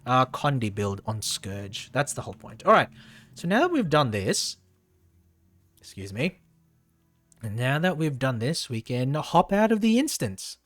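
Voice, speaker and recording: A man's voice: medium pitch, very animated, unhurried, a bit bright, no room sound. A man chatting casually through a live game stream, repeating phrases for emphasis, his voice a little tired and strained.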